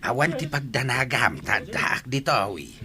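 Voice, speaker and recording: A man's voice: mid-range voice, animated, normal speed, a crowd in the background, close to an everyday voice. A man acting a kindly, helpful older father in an audio drama. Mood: warm, reassuring.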